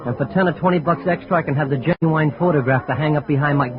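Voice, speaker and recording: A man's voice: a little high, quick pace, natural voice. An American man playing a brash, suspicious dive bartender in a 1950s radio drama, brusque, slangy and wisecracking. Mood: scornful, suspicious. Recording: tinny, muffled old radio, music behind.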